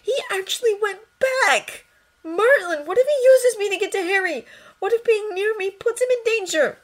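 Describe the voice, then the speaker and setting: A woman's voice: very high voice, moderate pace, voice pushed up. A woman voicing an anguished teenage boy who pleads breathily, close to tears. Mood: panicked pleading.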